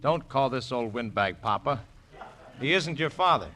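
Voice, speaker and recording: A man's voice: expressive, normal speed, sharp tone, a little hiss or hum. A male host playing a romantic young crown prince who talks and sings suavely in a 1940s radio musical. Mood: teasing. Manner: suave, crooning baritone singing. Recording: old radio broadcast with orchestra.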